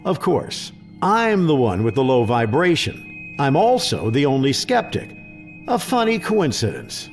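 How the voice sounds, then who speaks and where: An actor playing a prickly, sarcastic government secretary and skeptic in an audio drama, snippy and sardonic. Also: male voice, mid-range voice, huge pitch swings, a little slow, faint music behind.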